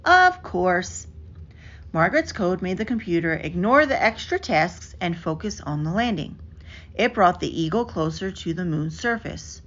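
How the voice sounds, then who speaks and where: A woman reads a children's picture book aloud in an expressive, carefully enunciated storytelling manner. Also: lowish voice, measured pace. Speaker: storybook reader. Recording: dull lo-fi audio with clear background noise.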